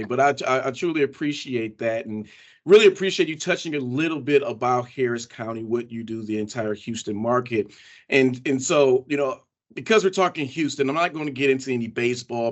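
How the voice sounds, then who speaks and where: A man's voice: medium pitch, muffled recording. A man hosting an interview from his office over a video call, friendly and prone to restarting his phrases. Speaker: host, county executive.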